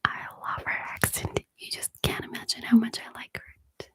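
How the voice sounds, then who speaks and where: A woman chatting to live viewers in a breathy ASMR whisper, with a hesitant, nervous manner. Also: moderate pace, bright, forceful tone. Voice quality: breathy whisper, mostly unvoiced. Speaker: ASMR streamer hosting a live chat. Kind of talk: whispered ASMR casual livestream chat. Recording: faint background noise with clicks.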